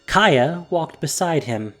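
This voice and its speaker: A man reading scripted fantasy story narration with expressive delivery. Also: fairly high pitch, takes it slowly, faint music behind.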